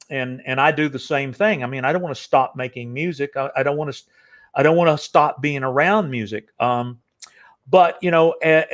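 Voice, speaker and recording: An older man hosting a casual interview, talking in long rambling stretches full of fillers and restarts. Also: mid-range voice. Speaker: interview host.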